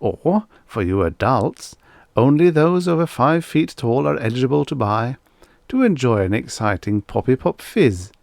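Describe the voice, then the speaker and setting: Guy doing a robot salesman from a sci-fi story, chirpy n pushy, lively up and down pitch, emphatic patter. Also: measured pace, gravelly, no room sound, clean studio sound. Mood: salesy, upbeat. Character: chirpy, persistent robot salesman hawking wares.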